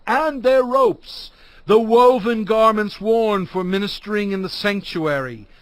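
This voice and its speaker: A solemn prophet leading his people in a scripture audio drama. The voice is high for a man and very slow, proclaiming each phrase as a command. The sound is dry and close. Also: a bit bright, no echo. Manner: declamatory, measured, phrase by phrase.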